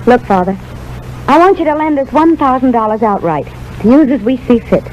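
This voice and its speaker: An actress playing a loyal, determined young American wife in a radio drama, plainspoken and earnest. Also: female voice, sits high, lively intonation, a little fast, a little mellow. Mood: firm, defiant. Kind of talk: 1930s radio drama acting. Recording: muffled, noisy 1930s radio recording.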